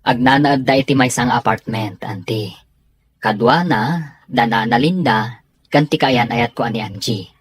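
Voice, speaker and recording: A man's voice: medium pitch, normal speed, breathy edge, no echo, close to an everyday voice. A Filipino man playing a respectful young nephew in a radio drama, polite and reluctant in manner. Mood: reluctant, worried. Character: hesitant, respectful young nephew guarding a secret. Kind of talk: Ilocano radio drama acting.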